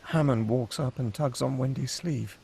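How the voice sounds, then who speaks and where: A man's voice: medium pitch, normal speed, creaky, mellow, a little hiss or hum. A man reading stage directions as the narrator of a screenplay, in a dramatic scripted style.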